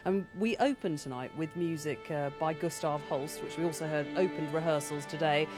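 A woman's voice: deep voice, normal speed. A woman presenting live radio from a concert hall, chatty and enthusiastic. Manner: enthusiastic, conversational. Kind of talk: live radio broadcast chat. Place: concert hall beside the orchestra. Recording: live broadcast with orchestra music behind.

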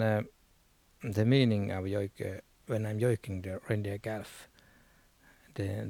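Slow, low-voiced man with a Sami accent. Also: gravelly, darkish tone, no echo. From Sápmi, northern Scandinavia. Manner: halting, searching for words in simple English. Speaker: Sami musician, joik singer. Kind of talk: explaining his music in an interview.

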